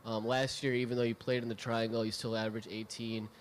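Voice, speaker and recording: A man, a sports reporter asking about statistics at a press conference in a steady tone. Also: medium pitch, fast talker, slight background noise.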